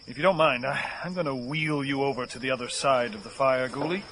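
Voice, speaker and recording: An actor playing a weary, desperate man on the run in an audio horror drama, halting and theatrical, musing with sardonic wit. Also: mid-range voice, background noise, lightly acted. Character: weary desperate male fugitive, rambling, wry, unravelling.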